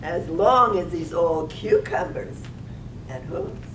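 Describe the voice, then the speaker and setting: A woman's voice: sing-song, takes it slowly, a bit bright, heavily acted. A woman acting a lusty, brazen young village woman in a reading of a poetic radio drama. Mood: lustful. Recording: roomy, distant microphone, faint rumbly noise.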